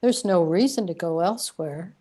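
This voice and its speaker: A woman acting in a radio play as a calm companion, reassuring and measured. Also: fairly low pitch, natural voice. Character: calm female companion gently reminding and guiding.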